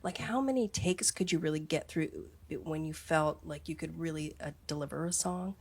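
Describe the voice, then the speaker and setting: Woman, slightly low voice, eager rambling interviewer. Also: moderate pace, darkish tone. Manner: enthusiastic, rambling questions. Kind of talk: interview questions.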